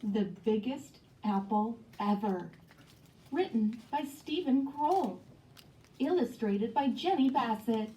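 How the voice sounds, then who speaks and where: A woman narrates a children's storybook in a fairly high, soft-toned voice. The sound is dull and echoey, as if played over a speaker across a classroom. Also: expressive, takes it slowly, a little hiss or hum. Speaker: read-aloud narrator of a children's picture book. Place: recorded read-aloud played back in a classroom. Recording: muffled classroom speaker playback, echoey, distant.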